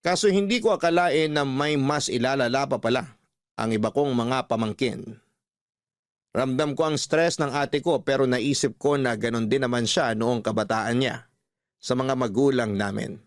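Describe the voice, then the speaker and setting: A man's voice: medium pitch, moderate pace, no echo, clean studio sound, close to an everyday voice. A man playing a responsible uncle, narrating his story earnestly as scripted radio drama. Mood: reflective, earnest.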